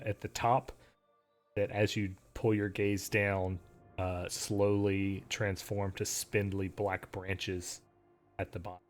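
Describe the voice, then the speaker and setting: Low-voiced man narrating a tabletop game. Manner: relaxed, with frequent "uh" pauses while describing scenes. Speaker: game master.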